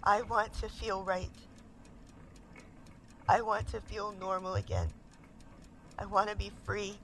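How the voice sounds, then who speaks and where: An actress playing a haunted, restless woman in an audio drama monologue, halting, intense and emotional. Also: mid-range voice, level tone, fairly bright tone, a little hiss or hum, poor audio. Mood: anguished, determined. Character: haunted, restless woman desperate to recover her lost identity.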